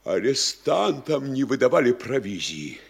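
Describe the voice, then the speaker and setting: A gravelly alarmed town governor. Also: male voice, medium pitch, very animated, exaggerated voice. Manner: slow, exclaiming, pious alarm. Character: anxious pompous town governor, older man. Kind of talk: stage radio play acting.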